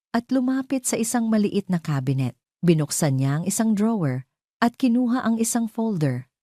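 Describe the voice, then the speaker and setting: A woman with a Filipino accent narrating a scripted romantic audio drama in a measured, dramatic storytelling delivery. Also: low voice, dark tone, no room sound, studio quality.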